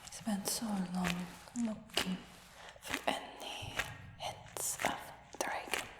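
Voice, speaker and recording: A woman whispering softly as a curious human dragon hunter in a fantasy ASMR roleplay. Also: mid-range voice, steady tone, takes it slowly, lightly acted. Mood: hushed, curious. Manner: soft unvoiced whisper. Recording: roomy whisper with long reverb.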